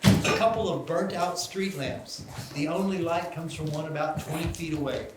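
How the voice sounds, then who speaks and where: A man narrates screenplay directions at a live table read. His voice is fairly high for a man, in a roomy, echoing space with clear background noise. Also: moderate pace. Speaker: narrator reading stage directions. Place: live event room.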